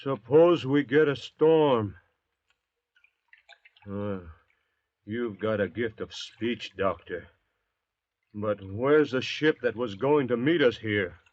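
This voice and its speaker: A man playing a surly, suspicious convict cutthroat in 1940s radio drama, gruff, blunt and grumbling. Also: medium pitch, expressive, slow, long pauses, dry recording. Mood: worried, insistent. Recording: muffled 1940s radio recording.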